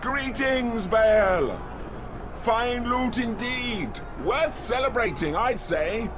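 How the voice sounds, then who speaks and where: A man's voice: very high-pitched, animated, drawn-out words, over music, heavily acted. An actor playing an ingratiating raider who defers to his chief in a video game. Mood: eager to please. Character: fawning male raider presenting captives to his chief. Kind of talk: dramatic video game acting.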